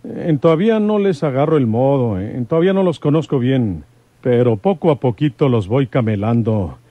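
A man's voice: medium pitch, expressive, moderate pace, no echo, phone-quality sound, natural voice. An actor playing a folksy, brave rural lawman in a radio serial, with a Mexican accent and rustic colloquial speech. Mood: fatherly, confident. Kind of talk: old-time radio serial acting.

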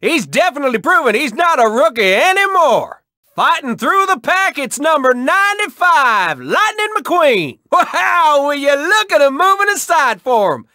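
Excitable high-pitched cartoon race announcer. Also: male voice, no echo, clean studio sound, full character voice. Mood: excited. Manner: folksy, exclamatory, drawn-out emphasis. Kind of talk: excited sports commentary in a video game.

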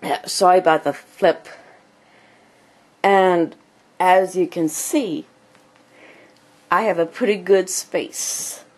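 A woman with a cold and a speech impediment, giving a casual home tour in halting, choppy phrases. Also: mid-range voice. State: has a cold, clearing throat. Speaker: amateur video blogger and hobby writer. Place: small home computer room. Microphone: handheld camera held by the speaker.

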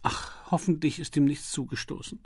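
A man's voice: a little high, fairly even intonation, normal speed, slightly rough, no room sound, clean studio sound. A man voicing a proper, loyal manservant in an audiobook, stiff, formal and deferential. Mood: earnest, loyal. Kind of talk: audiobook character acting.

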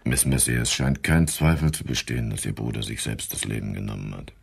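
Wanted: radio-play inspector, bottomless gravelly register, measured questioning, businesslike and calm. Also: male voice, rumbling low, over music, exaggerated voice. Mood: businesslike, probing. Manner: measured, questioning, matter-of-fact. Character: male police inspector, businesslike, probing, calm. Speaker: actor in an audio drama. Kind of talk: German crime radio play acting.

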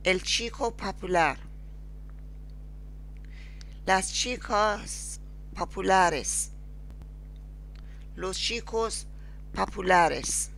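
A woman with a Persian accent teaching Spanish. She speaks slowly and deliberately, pausing after each word in repeat-after-me drills. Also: medium pitch, vocal fry, a bit bright, a little hiss or hum, poor audio. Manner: slow, deliberate, spells out each word with pauses. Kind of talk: slow screen-recorded language lesson with repeat-after-me drills.

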